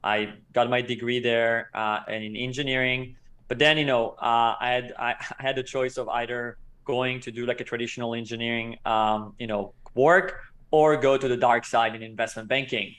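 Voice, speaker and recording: A man in his thirties who grew up in Israel, a company co-founder telling his story as an interview guest in a measured, explanatory way. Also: mid-range voice, steady tone, a bit bright. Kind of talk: casual interview talk.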